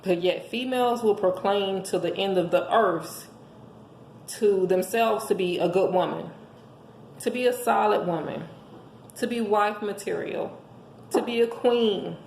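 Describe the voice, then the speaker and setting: A hoarse woman giving an emphatic, preachy motivational monologue, speaking as a host handing out relationship advice to listeners. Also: mid-range voice, unhurried, darkish tone. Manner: emphatic, preachy, rhetorical questions. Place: indoors at home. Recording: echoey room with faint background noise.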